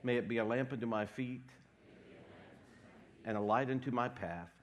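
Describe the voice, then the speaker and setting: A man preaching a sermon as pastor, congested from a cold, with a wry, deadpan humor. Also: medium pitch, normal speed. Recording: long hall reverb.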